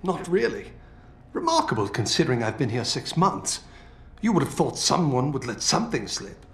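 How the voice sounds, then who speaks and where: Animated, fatherly older British scientist. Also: medium pitch, normal speed. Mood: wry, weary. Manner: expressive, fatherly, colourful. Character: avuncular older British scientist, captive yet feisty. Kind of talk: video game voice acting. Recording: roomy game audio with music.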